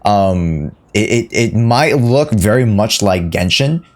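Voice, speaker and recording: A man's voice: on the low side, expressive, takes it slowly. A man doing casual live reaction commentary as a video game streamer, talking to his chat in slangy, offhand phrases.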